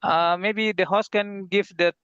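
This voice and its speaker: A man moderating an online conference session, speaking halting non-native English in a formal introductory style. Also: sits high, steady tone, a bit bright, clear, resonant tone, dry recording. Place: online video call. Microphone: video-call microphone. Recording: dull, lo-fi video-call audio.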